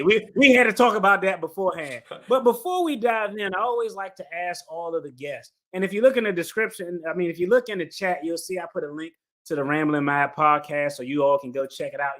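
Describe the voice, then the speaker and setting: A man hosts a relaxed interview in a noticeably high voice. His phrasing is informal and slangy, with affirming interjections. He sounds conversational, like someone chatting with a guest he wants to draw out. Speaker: podcast host interviewing a guest. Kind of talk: casual interview chat.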